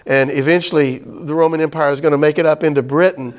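Middle-aged male Bible teacher with a somewhat high voice and an easygoing, rambling lecture delivery. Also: fairly even intonation, fast. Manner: conversational, many fillers and restarts. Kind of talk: casual Bible study lecture.

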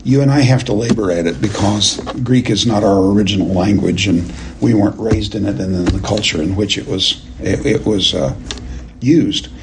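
A man teaching a Bible class verse by verse, speaking in a deliberate, halting and emphatic way and putting questions to his listeners. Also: fairly low pitch, background noise. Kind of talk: expository lecture to a class, verse by verse.

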